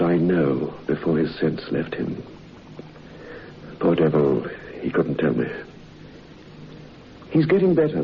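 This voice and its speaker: An actor playing a sombre man telling of a friend's horrifying ordeal in a suspenseful, measured radio drama style. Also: low voice, heavily acted. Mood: grim. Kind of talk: dramatic radio horror narration. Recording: muffled, noisy old radio recording.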